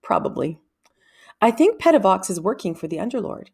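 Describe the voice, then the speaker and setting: Woman reading guarded, creaky-voiced young thief boy. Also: medium pitch, very animated, a little fast, a little mellow, full character voice. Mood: insistent. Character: quick-witted, guarded young thief boy, a wizard's apprentice. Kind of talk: children's storybook read-aloud.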